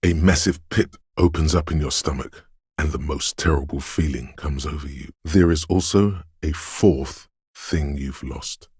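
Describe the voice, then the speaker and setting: A man voicing an eerie, mystical inner voice of intuition in a video game, gravelly, cryptic and ominous, speaking in theatrical second-person narration. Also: unhurried, a little mellow, no echo, studio quality, heavily acted. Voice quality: very deep, gravelly rasp.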